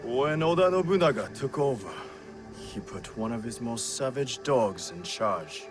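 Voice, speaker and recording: A proud disowned warrior man played by an actor in a game cutscene. He speaks slowly and impassioned, with very animated pitch. He broods theatrically over clear background music. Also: lightly acted. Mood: brooding. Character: proud, bitter, defiant disowned warrior monk's son. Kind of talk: dramatic video game cutscene acting.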